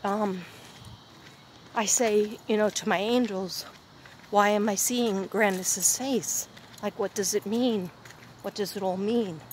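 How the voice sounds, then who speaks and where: A woman of middle pitch chats into her phone while walking outside, wandering from thought to thought and restarting her sentences. Faint street noise sits behind her voice. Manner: rambling, restarts often, talks to her phone. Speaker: vlogger. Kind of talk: walking vlog, casual chat. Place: outdoors on a neighborhood street. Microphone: handheld phone.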